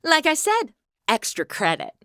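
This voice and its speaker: A woman's voice: high voice, wildly swooping pitch, unhurried, pushed and bright, no echo, studio quality, heavily acted. An actress playing a sassy, wisecracking modern woman in a comedy audio drama, with an irreverent, slangy delivery. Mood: sardonic.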